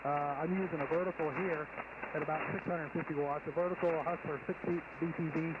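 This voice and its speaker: Man, ham radio operator, methodical, fairly high voice, loud static. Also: fairly even intonation, fast, highs cut off. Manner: methodical, spells call signs phonetically. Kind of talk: radio conversation.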